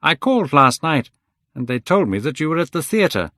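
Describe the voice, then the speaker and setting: A man reading aloud, voicing an older painter who sounds worried, gentle and pleading. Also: on the high side, big pitch swings, moderate pace, no echo, studio quality, voice pushed up. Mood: anxious, pleading.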